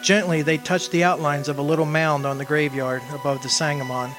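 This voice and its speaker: An actor playing a tender, earnest young suitor in a sentimental radio drama. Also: male voice, a little high, flat delivery, a little fast, music in the background, natural voice. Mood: mournful.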